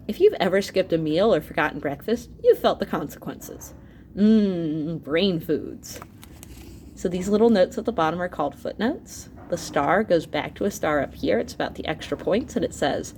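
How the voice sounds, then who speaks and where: Animated woman teacher reading aloud. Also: mid-range voice, a little hiss or hum. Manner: animated, with asides and exclamations to listeners. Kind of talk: expressive children's educational read-aloud.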